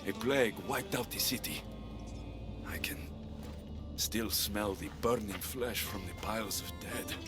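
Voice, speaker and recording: An actor playing a bold, dry-witted ancient Greek mercenary hero in a video game, blunt, wry and confident. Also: male voice, lowish voice, measured pace, over music, natural voice. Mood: earnest.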